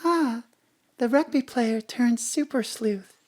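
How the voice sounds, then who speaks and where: An actress playing a president's elegant wife, airy, theatrical and evasive, in an audio drama. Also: female voice, fairly high pitch, takes it slowly, darkish tone. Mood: airy, dismayed.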